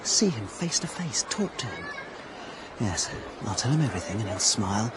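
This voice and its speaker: An actor playing an earnest, proud young Russian officer in a radio drama, speaking with hesitant fervour. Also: male voice, lowish voice, sing-song, normal speed. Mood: rapt, hopeful. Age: young adult. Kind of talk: radio drama acting with inner monologue. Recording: bustling outdoor crowd noise behind.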